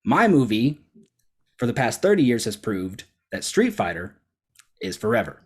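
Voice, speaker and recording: A man with a middling pitch makes his case in a casual debate. He is emphatic and persuasive, breaking his argument into short, punchy phrases. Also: big pitch swings, moderate pace, husky. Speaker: debate contestant.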